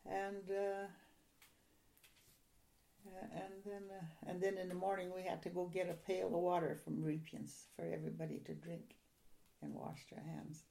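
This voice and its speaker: An older woman from a Ukrainian-speaking home, reminiscing in an oral history interview in a soft, halting, rambling way. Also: on the low side, level tone, vocal fry.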